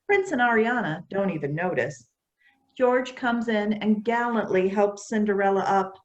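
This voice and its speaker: A woman's voice: mid-range voice, lively intonation, moderate pace, lo-fi sound. A woman reading scripted stage directions as narrator of a staged play reading.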